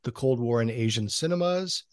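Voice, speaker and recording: A man hosting a podcast interview, introducing and questioning his guest with hesitant pauses between phrases. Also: medium pitch, expressive, a little mellow, no room sound, clean studio sound.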